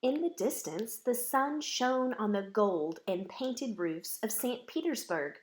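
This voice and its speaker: A woman reading a children's picture book aloud with expressive, measured phrasing. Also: fairly high pitch. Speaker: storybook reader.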